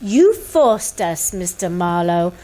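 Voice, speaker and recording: An actress playing a cold, threatening fortune-teller madame in an old radio crime drama. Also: female voice, on the low side, very animated, slow, dark tone. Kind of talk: 1940s-50s radio crime drama acting.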